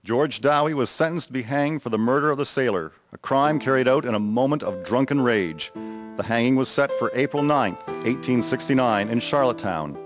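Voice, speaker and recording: Male narrator for historical radio drama, medium pitch, guitar backing. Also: normal speed. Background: plucked guitar music.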